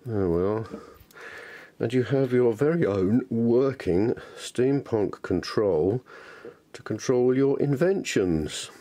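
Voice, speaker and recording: A man's voice: medium pitch, animated, slow, warm tone. A man presenting an informal hands-on tutorial, thinking aloud in a chatty, enthusiastic way.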